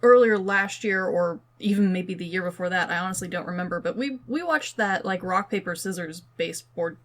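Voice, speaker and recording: A woman's voice: on the low side, moderate pace, fairly bright tone. A woman co-hosting a podcast, chatting casually about films in an informal, rambling way peppered with "like". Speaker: podcast co-host.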